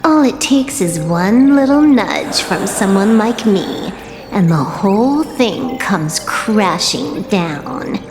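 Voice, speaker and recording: A woman's voice: mid-range voice, expressive, slow. An actress playing a cocky, taunting young woman hunter in a game cutscene, self-assured and scheming. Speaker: game heroine. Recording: long hall reverb with music behind.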